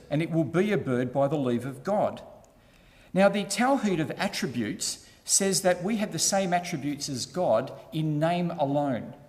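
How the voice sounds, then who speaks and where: A man's voice: fairly high pitch, darkish tone, long reverb tail. Methodical man arguing a point. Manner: methodical, repeating key points. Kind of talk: explanatory argument.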